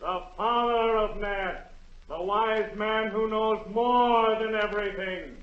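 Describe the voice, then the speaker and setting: An actor playing a solemn royal herald, calling out ceremonially in a 1940s radio drama. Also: male voice, high voice, takes it slowly. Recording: echoey, dull vintage 1940 radio recording.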